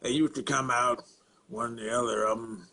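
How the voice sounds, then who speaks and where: Gravelly, slow Southern simpleton. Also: male voice, fairly even intonation, bright, forceful tone. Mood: flat, matter-of-fact. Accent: rural Southern US. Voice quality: low gravelly rasp with throaty grunts. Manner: halting, repetitive, plainspoken, folksy. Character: slow, simple-minded Southern man confessing to a killing. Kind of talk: dramatic film monologue.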